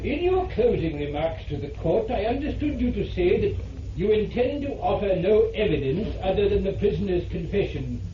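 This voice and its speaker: Dignified, stern judge, formal and animated, old radio drama, muffled recording. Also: male voice, high-pitched, background noise. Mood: stern, measured. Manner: formal, measured, authoritative. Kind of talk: vintage radio drama acting. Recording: echoey, distant, muffled vintage radio recording.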